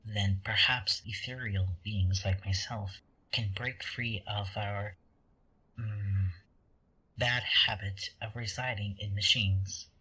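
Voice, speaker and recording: Casting: actor as manipulative angel for sci-fi audio drama; smug, unhurried, heavily breathy, taunting. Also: sits low, fairly even intonation, bright, forceful tone, heavily acted, low-quality recording. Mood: mocking. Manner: theatrical, condescending, drawn-out, deliberate delivery.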